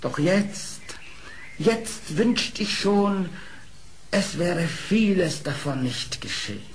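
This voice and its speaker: An actor playing a sly, smooth-tongued fox in a radio play, speaking in a formal, theatrical, pleading manner. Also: male voice, high voice, expressive, takes it slowly. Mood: remorseful. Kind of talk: classic German radio play acting. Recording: old radio play record, noisy.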